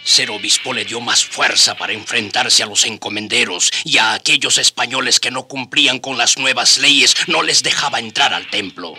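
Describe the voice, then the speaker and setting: A man's voice: medium pitch, normal speed, hardly any pauses, sharp tone, soft background music. A man playing a companion friar who narrates a radio drama as scripted storytelling. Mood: solemn storytelling. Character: companion friar recounting the old bishop's life.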